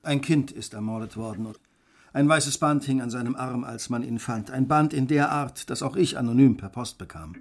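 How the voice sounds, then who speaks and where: An actor playing a keen, determined Victorian gentleman detective in a radio play, with precise, polite diction. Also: medium pitch, normal speed, lightly acted. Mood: resolute.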